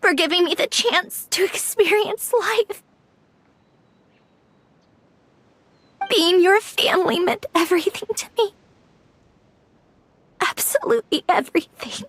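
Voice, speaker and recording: A woman voicing a proud, reserved young woman with a tender side, in measured, prim video game story acting. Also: very high-pitched, fairly bright tone. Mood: heartfelt.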